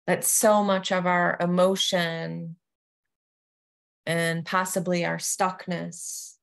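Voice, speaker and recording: Lady yoga nidra teacher doing a meditation intro, super slow w long pauses, kinda creaky fry, gentle. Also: lowish voice, little pitch movement.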